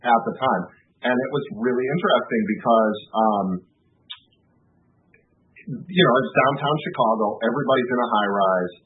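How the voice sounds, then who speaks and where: A man, a lawyer and author, talking casually about his novel in an interview, thoughtful and halting, with frequent restarts. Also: medium pitch. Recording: tinny phone line.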